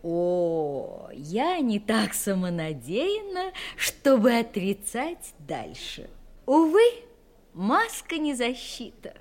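An actress playing a refined, self-possessed young gentlewoman, candid and faintly mysterious, in an audio drama, speaking elegantly with dramatic pauses. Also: a little high, wildly swooping pitch, heavily acted. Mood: surprised, coy. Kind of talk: Russian audio drama acting.

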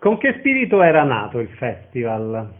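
A man's voice: medium pitch, huge pitch swings, normal speed, narrow, tinny audio. A man hosting a radio interview, introducing and asking short questions.

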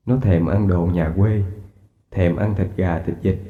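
A man's voice: lowish voice, normal speed, voice pushed down, natural voice. A man voicing a grieving old village father, who speaks in a weary, subdued, sighing way. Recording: echoey, tinny, faint music behind.

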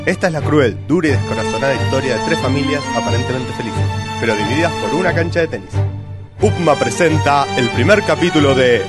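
A man's voice: medium pitch, a little fast, loud music behind the voice. A man with an Argentine Rioplatense accent hosting a comic radio show.

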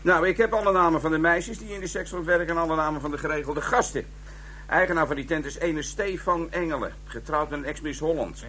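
A man's voice: fairly high pitch, quick pace, bright, forceful tone, a little hiss or hum. An actor playing an offhand, sardonic police detective giving his report in a Dutch radio drama. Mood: matter-of-fact. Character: wry, colloquial male police detective reporting findings.

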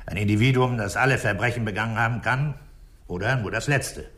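An actor playing a methodical, dryly impatient police commissioner in a 1960s German radio crime drama, speaking in a measured, authoritative way. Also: male voice, lowish voice, a bit bright, lightly acted. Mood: firm, pointed. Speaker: police commissioner leading an investigation.

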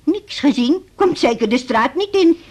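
An actress playing a stubborn, forgetful woman in her eighties in a radio drama, with a husky voice and a blunt, rambling manner. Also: sits high, lively intonation, moderate pace, a little mellow. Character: stubborn, forgetful 83-year-old mother, cheeky and rambling. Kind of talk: 1980s Dutch radio drama acting.

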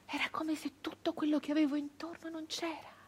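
An actress playing a grieving, traumatized 19th-century peasant woman in a theatrical radio monologue, halting and intense, with breathy speech that can sink toward a whisper. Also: high-pitched, flat delivery, darkish tone, close to an everyday voice. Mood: horrified, faltering. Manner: halting, intense, repeating phrases obsessively.

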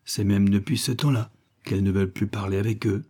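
A man voices a timid young hen who speaks in a hushed, wondering way, storybook style. The voice stays dark, husky and mellow. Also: lowish voice, steady tone, a little fast.

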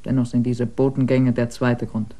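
An actor in a German radio drama playing a devoted member of a poor village family, earnestly explaining. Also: male voice, mid-range voice, level tone, normal speed, mellow, lightly acted.

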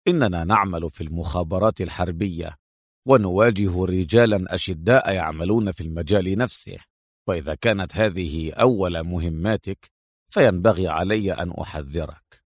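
A man's voice: low-pitched, normal speed, slightly rough, no room sound, voice pushed down. A man voicing a stern, sardonic secret agent with a dry, cold manner in an audiobook. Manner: dry, clipped, muttering through teeth. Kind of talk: acted audiobook dialogue.

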